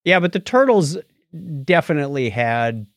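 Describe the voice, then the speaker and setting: A man's voice: fairly high pitch, big pitch swings, moderate pace, dry recording, studio quality. A man around sixty co-hosting a podcast, giving casual reviews in conversation. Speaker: podcast co-host.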